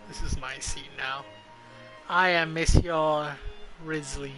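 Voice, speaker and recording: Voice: medium pitch, fairly even intonation, takes it slowly, bright, forceful tone, faint music behind. A streamer giving casual, crude and irreverent game commentary, sometimes reading the dialogue aloud.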